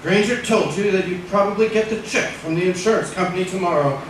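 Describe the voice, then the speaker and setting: A scheming husband acted by a man in an old radio thriller, insistent and bossy. The sound is very echoey and set back from the microphone, with clear noise over the old recording. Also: high voice, fairly quick, recorded from a distance, lightly acted. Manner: insistent, domineering. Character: scheming, bossy husband faking his death for money. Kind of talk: 1940s radio acting.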